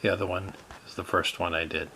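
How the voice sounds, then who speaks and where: A man narrating a step-by-step radio repair in a halting way, with many fillers. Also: deep voice, flat delivery, sharp tone, a little hiss or hum.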